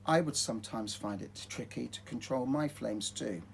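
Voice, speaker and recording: A man voicing a gentle, patient mother dragon in a storybook, softly and soothingly. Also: medium pitch, moderate pace, gravelly, a little hiss or hum, pitched below the performer's own voice, lightly acted. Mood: reassuring, patient.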